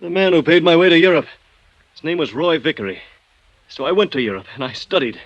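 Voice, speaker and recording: An actor playing a bitter, resigned architect just beaten up, speaking with halting, deliberate irony in 1950s radio drama style. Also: male voice, on the high side, expressive, no echo, dull audio. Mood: bitterly reflective.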